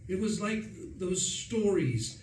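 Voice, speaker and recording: A man playing an excitable upper-class gentleman who narrates a radio play in a breezy, self-dramatizing way. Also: sits high, slow. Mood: animated storytelling. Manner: breezy, self-dramatizing, indignant when crossed. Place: live performance in a room, distant mic. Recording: roomy, distant, faint noise.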